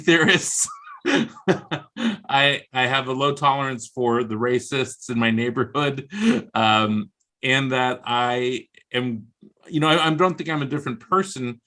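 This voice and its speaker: Male, American, bright-toned voice. Guest author on a video call, dry humor, comic exaggeration at his own expense. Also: medium pitch. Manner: wry, comically exaggerating, self-deprecating. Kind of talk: casual video interview.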